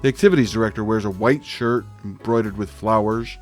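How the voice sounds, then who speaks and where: An actor playing a clueless, quirky dad detective, narrating in an earnest, scene-setting way for a comic audio drama. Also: male voice, on the low side, fairly quick, lightly acted. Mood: wry narration. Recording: faint music under the voice.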